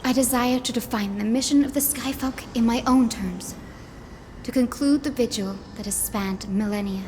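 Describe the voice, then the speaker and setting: A woman playing a gentle, earnest young female healer in a video game, speaking in a solemn, polite and formal manner. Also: fairly high pitch, normal speed, a little hiss or hum, lightly acted. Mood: resolute. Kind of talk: scripted video game voice acting. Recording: game audio with background music. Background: music.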